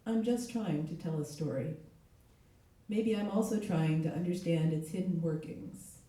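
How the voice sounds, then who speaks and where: Hoarse woman reading in a very echoey room. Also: lowish voice, measured pace, dark tone. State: hoarse from a cold. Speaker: literary translator giving a reading. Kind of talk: live literary reading aloud with short spoken introduction. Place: echoey indoor event space. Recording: very echoey room, distant mic.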